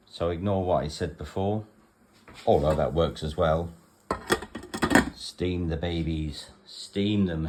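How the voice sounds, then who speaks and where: Very deep-voiced man, unhurried and chatty. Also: slight background noise. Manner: rambling, matter-of-fact, chatting aside to his dog. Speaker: vlogger demonstrating a kitchen task. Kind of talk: casual home demonstration chat. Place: indoors, home kitchen.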